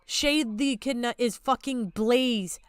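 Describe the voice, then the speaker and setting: Outraged, clear-voiced woman streamer. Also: a little high, measured pace, no room sound, clean studio sound. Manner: blunt, heavily swearing, indignant. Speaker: streamer reacting to videos. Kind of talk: casual stream commentary and rant.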